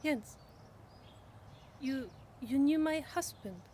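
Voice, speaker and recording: High, hesitant widow, played by a woman. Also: lively intonation, a little mellow, a little hiss or hum. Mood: moved, grateful. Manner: halting, tentative. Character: polite, hesitant German war widow. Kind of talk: student radio drama acting.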